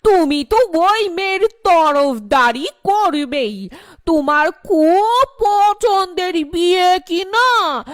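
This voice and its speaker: Woman acting spiteful housewife, high slow voice. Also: lively intonation, dry recording, clean studio sound, heavily acted. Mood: bitter, spiteful. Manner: scornful, sarcastic, complaining. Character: spiteful older housewife, bitter mother-in-law. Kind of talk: animated horror story drama dialogue.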